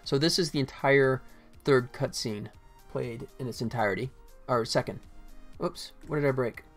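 A man's voice: mid-range voice, normal speed, soft background music. A middle-aged man gives casual live commentary as a game developer, thinking aloud in short fragments. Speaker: game developer livestreaming his work.

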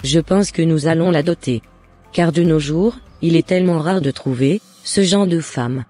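Woman acting older mother, husky mellow voice, composed, questioning, discerning yet approving, cartoon drama. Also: low voice, normal speed, faint music behind.

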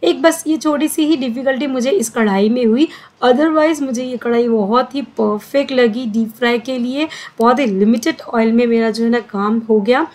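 A woman's voice: on the high side, moderate pace, a little mellow. Chatty woman narrating home cooking. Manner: chatty step-by-step instructions, many fillers. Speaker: cooking vlogger. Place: home kitchen.

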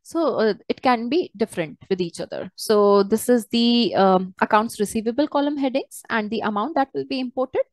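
Woman, higher voice, dry close sound, halting step-by-step software walkthrough. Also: animated, no room sound. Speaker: software trainer. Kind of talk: screen-share software tutorial, unscripted walkthrough.

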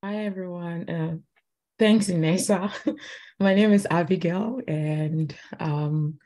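A young woman from Ghana introduces herself on a video call. Her voice is low and mellow. She sounds cheerful, laughing lightly and pausing to find her words. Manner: cheerful, giggly, some hesitation. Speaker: graduate student.

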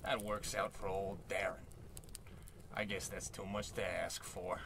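A man playing a wistful, excitable old tramp in a radio comedy, folksy, theatrical and rambling. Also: sits low, unhurried, vocal fry, noisy background. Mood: dejected. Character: down-on-his-luck old tramp, failed vaudevillian, wistful and excitable. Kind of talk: old-time radio comedy play acting.

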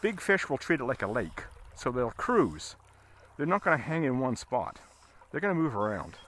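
A man giving a casual, halting fly fishing tutorial as an instructor, talking while he works outdoors. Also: medium pitch, very animated, fairly bright tone. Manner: halting, explaining as he casts. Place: outdoors, standing in a river. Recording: outdoor recording with water noise. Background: running water.

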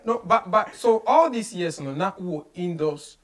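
High-pitched Ghanaian man hosting an interview. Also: animated, measured pace, soft background music. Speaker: radio show host. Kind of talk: casual interview, switching between English and Twi. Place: small radio studio office, indoors.

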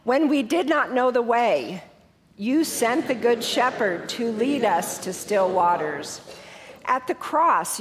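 Middle-aged woman pastor, a little stuffed up from a cold, friendly and conversational, long church reverb. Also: mid-range voice. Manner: warm, conversational announcements then measured liturgical prayer. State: congested with a head cold. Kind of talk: church welcome and liturgical prayer. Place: large church sanctuary.

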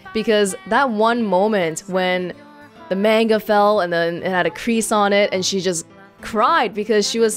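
A woman giving casual commentary as the host of a video game let's play. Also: fairly high pitch.

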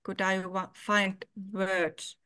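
A woman from Finland with a Finnish accent, reading her prepared words aloud slowly and carefully over a video call. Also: medium pitch, little pitch movement, sharp tone, smooth. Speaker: exhibiting photographer.

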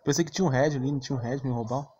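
A man's voice: medium pitch, very fast, mellow. A man with a Brazilian accent giving casual, slangy, grumbling commentary on his own gameplay, with some swearing. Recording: dull lo-fi mic with clear background noise.

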